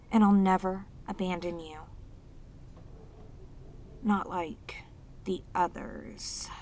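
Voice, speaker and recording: A woman playing a sweet, clingy demoness in a romantic audio roleplay, speaking tenderly and haltingly with intense devotion. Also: medium pitch, a little mellow, slight background noise. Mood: soothing, devoted, then quietly menacing. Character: sweet, devoted, clingy yandere demoness, long sealed away.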